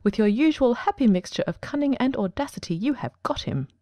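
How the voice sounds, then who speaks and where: Lady doing cool brainy detective man, super quick, soft-edged tone, formal audiobook. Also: on the high side, animated, dry recording, natural voice. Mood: assured, dry. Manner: crisp, formal, commanding. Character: coolly self-assured, precise male detective genius. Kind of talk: dramatic audiobook reading.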